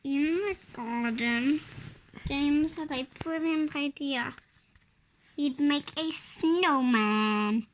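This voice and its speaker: A five-year-old child with a high voice reads a storybook aloud. The reading is very slow and halting, taken a word or two at a time, as an early reader does. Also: fairly bright tone, a little hiss or hum, low-quality recording.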